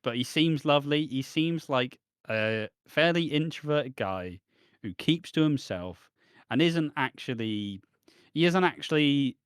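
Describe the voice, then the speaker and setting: A man's voice: mid-range voice, clean tone, no room sound. Rambling British man, dry close sound. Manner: rambling, opinionated, frequent restarts. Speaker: podcast co-host. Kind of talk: casual chat.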